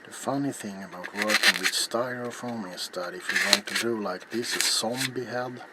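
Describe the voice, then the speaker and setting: A middle-aged man narrating a craft in soft ASMR style, hushed and unhurried, often slipping into a whisper. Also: fairly low pitch. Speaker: ASMR crafting video host. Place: small cluttered indoor workshop. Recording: loud background noise, handling clicks.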